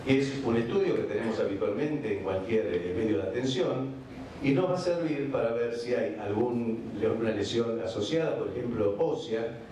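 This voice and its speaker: A man from Argentina with an Argentine accent, giving a measured medical conference lecture with frequent hesitation fillers. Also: fairly low pitch, warm tone, recorded from a distance. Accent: Argentine Spanish. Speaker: vascular surgeon giving a conference talk. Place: large conference hall. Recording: echoey hall recording from afar, background noise.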